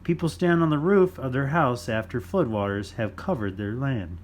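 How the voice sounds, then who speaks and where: A man reading a children's nonfiction book aloud in simple, deliberate phrases for young listeners. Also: medium pitch, slight background noise. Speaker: children's storytime reader.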